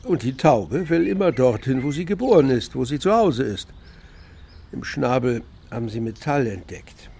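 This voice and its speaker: Gravelly, kindly pigeon keeper. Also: male voice, mid-range voice, lively intonation, moderate pace, dark tone. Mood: musing. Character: kindly older pigeon keeper, patient neighbourly uncle. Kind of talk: German children's radio play acting.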